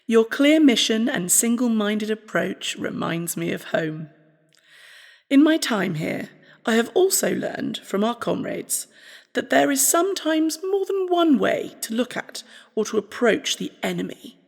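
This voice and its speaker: A woman playing a formal, fond scientist, reading out a farewell letter in a measured way. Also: on the high side, sing-song, creaky, full character voice. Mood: fond, earnest. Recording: long hall-like reverb.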